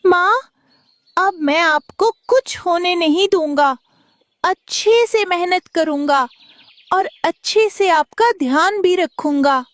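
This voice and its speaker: A woman's voice: very high-pitched, slow pace, no echo. A woman voicing an earnest, grateful little boy bird in a children's cartoon. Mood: devoted. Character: sweet, devoted little boy sparrow.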